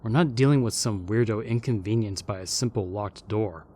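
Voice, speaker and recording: A man's voice: lowish voice, moderate pace, dark tone, slight background noise, natural voice. A man playing a formal, matter-of-fact ghost from an older era, skeptical and level-headed, in an audio roleplay. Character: stuffy, level-headed old-time male ghost. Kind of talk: fan audio roleplay comedy acting.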